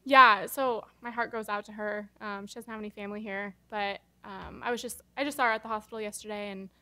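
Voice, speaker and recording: A woman's voice: medium pitch, fairly even intonation, brisk, pushed and bright. A young woman basketball player answering postgame questions at a press table, casual and hesitant with many fillers. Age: young adult. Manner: casual, hesitant with many ums and you knows. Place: press conference room. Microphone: table microphone.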